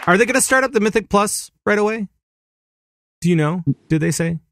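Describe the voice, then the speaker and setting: A man co-hosting a casual gaming podcast, animated and laughing easily, sometimes making silly sound effects. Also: sits high, a little fast, no room sound, studio quality, a faint crowd. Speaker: podcast co-host. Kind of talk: casual chat.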